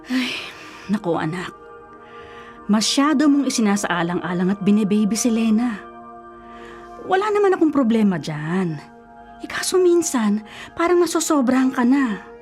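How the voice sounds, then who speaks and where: An actress playing a caring, protective middle-aged mother in a Filipino radio drama, gently chiding in a Filipino accent. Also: on the high side, sing-song, normal speed, pauses often, faint music behind. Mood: concerned, chiding. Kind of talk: Tagalog radio drama acting.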